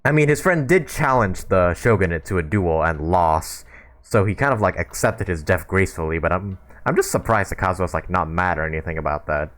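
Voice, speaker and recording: A man's voice: low-pitched, expressive, a little fast, fairly bright tone. A man from Canada giving informal, slangy let's play commentary as he muses over a game's story. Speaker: gaming video host.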